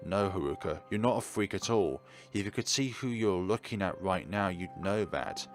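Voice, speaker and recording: A man's voice: low voice, lively intonation, normal speed, soft background music. A man voicing an anxious, apologetic young man in a visual novel, earnest and hesitant. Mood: reassuring. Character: self-doubting anxious young man, apologetic, gentle, eager to reassure.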